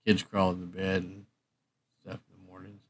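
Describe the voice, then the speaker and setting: Male livestream host, lowish voice, loose and chatty, hesitantly thinking out loud. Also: completely flat delivery, moderate pace, slightly rough. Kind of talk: casual rambling livestream chat.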